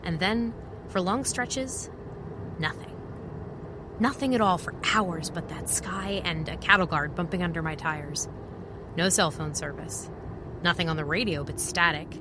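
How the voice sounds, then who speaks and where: A woman's voice: on the low side, moderate pace, background noise, lightly acted. An actress playing a wry, world-weary woman who narrates a spoken travel journal in an audio drama, reflective, often in clipped fragments. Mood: reflective, observant.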